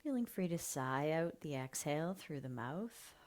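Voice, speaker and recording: A woman leading a guided breathing meditation, speaking softly and slowly, often half-whispering. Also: low voice, lively intonation. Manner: slow, soft and soothing, often half-whispered.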